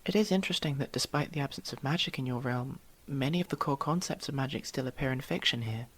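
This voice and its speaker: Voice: mid-range voice, quick pace, a bit bright, husky. A performer voicing a curious, proper young elf mage student in an ASMR roleplay, inquisitive and a little formal, with hesitant stammers. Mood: explaining, composed. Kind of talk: ASMR roleplay, one-sided dialogue with the listener.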